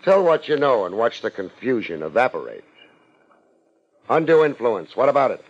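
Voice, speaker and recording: A man's voice: medium pitch, sing-song, normal speed, husky. An American man playing a hard-boiled, wisecracking private investigator in a 1950s radio drama, sardonic and unruffled. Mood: sardonic, pressing. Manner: sardonic, unruffled, wry first-person narration and blunt questioning. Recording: muffled 1950s radio recording with music.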